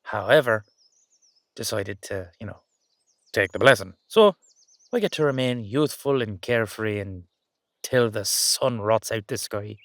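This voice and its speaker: A man's voice: on the low side, lively intonation, measured pace, long pauses, a bit bright, no echo. A man playing a playful, affectionate young-looking Dullahan boyfriend with an Irish accent, rambling and teasing in a roleplay audio. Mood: bashful, explaining.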